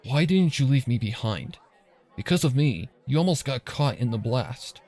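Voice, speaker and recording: A man voicing a caring young pony stallion with soft, low-key delivery in a fan fiction reading. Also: animated, normal speed, some chatter behind, lightly acted. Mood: guilty. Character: weary, tender young stallion, protective boyfriend.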